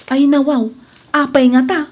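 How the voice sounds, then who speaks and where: A woman's voice: high voice, flat delivery, normal speed, darkish tone, lightly acted. A young woman playing a cautious student boarder in a radio comedy drama, hesitant and questioning. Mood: curious, worried.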